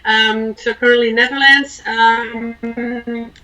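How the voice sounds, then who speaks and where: Bright high-voiced woman, distant mic. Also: fairly even intonation, slow pace, faint music behind. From Belgium. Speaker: interview guest, expat coach. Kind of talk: informal interview talk giving advice.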